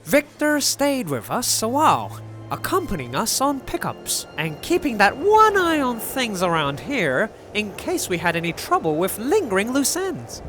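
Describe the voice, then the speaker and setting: A woman's voice: fairly high pitch, wildly swooping pitch, unhurried, fairly bright tone, faint music behind, full character voice. A woman playing a wry, feisty country woman in an audio drama, talking at length in folksy, colorful phrases. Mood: wry storytelling. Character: garrulous, wry, feisty country woman telling old tales. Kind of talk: audio drama acting, storytelling.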